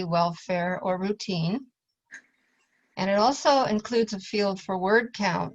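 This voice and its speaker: A woman presenting class material as an instructor, explaining it step by step in an informal way. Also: medium pitch. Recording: dull, lo-fi audio.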